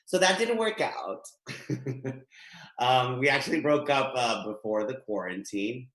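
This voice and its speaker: Animated male stand-up on a webcam; bright tone, roomy echo. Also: medium pitch, a little slow. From Guatemala. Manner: chatty, animated, gesturing. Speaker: stand-up comedian. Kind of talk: stand-up set. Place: home room. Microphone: webcam, video call. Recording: echoey home room.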